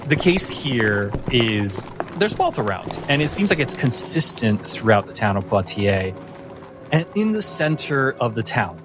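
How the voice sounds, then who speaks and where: A man narrates a tabletop game as game master in a medium-pitched voice. His storytelling is descriptive and improvised, with casual phrasing, over light background music.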